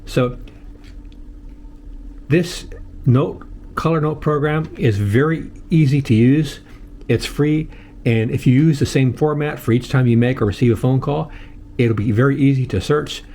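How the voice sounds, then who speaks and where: An older American man giving a casual, unscripted tutorial in a halting, plain-spoken way, often repeating himself. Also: mid-range voice, warm tone. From United States. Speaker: host of a how-to video channel.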